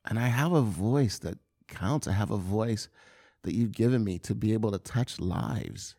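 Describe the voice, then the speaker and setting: A man giving an earnest, confessional sermon testimony, often halting and repeating words as he speaks. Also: on the low side, lively intonation, gravelly, warm tone.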